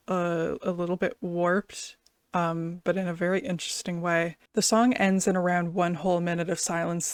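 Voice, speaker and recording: A woman's voice: lowish voice, dry recording. A woman giving casual, off-the-cuff commentary as the host of a music reaction video. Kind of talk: casual reaction commentary with some reading aloud.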